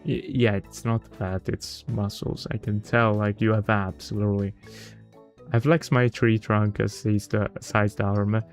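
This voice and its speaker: Halting, low-voiced man reading on a livestream. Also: level tone, soft background music. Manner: halting reading, stumbles over words and corrects himself. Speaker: livestreamer reading a visual novel aloud. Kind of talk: casual live read-aloud with chatty asides.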